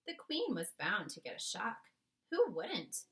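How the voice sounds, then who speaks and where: A woman reading a storybook aloud as narrator, with dramatic, measured phrasing. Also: mid-range voice, wildly swooping pitch.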